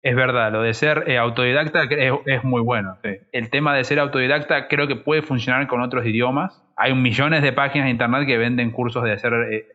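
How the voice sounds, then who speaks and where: Man, Rioplatense accent, sharp forceful tone, emphatic conversational panelist, somewhat lo-fi sound. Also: mid-range voice, fairly even intonation, a little fast, few pauses. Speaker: podcast guest sharing experience of learning Chinese. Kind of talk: casual panel discussion.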